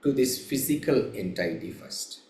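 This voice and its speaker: A man guiding a meditation as a teacher, speaking in measured, halting short phrases with long pauses between them. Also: medium pitch, animated, darkish tone. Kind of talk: slow guided meditation instruction. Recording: roomy, echoing room sound.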